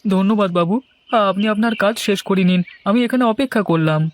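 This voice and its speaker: A man's voice: sits high, quick pace, lightly acted. A man playing a poor, meek village labourer in a cartoon, deferential and eager to please. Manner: deferential, pleading. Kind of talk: Bengali cartoon voice acting.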